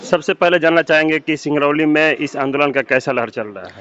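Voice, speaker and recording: A man's voice: on the high side, a little fast, a bit bright. A man working as a news interviewer, putting questions to people in a street interview on a dull recording. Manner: frequent short affirming interjections while listening. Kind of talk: street interview at a protest sit-in. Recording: dull, lo-fi audio.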